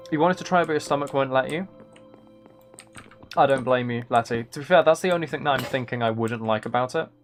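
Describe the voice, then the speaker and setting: A man's voice: medium pitch, fast, faint music behind. A man chatting casually on a live game stream, musing and thinking aloud as he plays. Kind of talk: casual live stream chat while playing.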